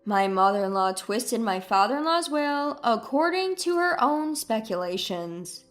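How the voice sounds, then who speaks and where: A woman's voice: fairly high pitch, expressive, unhurried, soft background music. A woman reading a scripted first-person story as its narrator.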